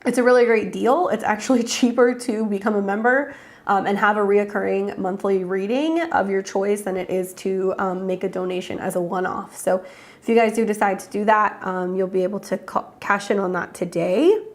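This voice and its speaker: A woman's voice: medium pitch, fairly even intonation, moderate pace. Congested woman, astrologer hosting a livestream, chatty, lots of ums, greets viewers. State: congested with a cold. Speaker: astrologer hosting live readings. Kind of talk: casual livestream chat.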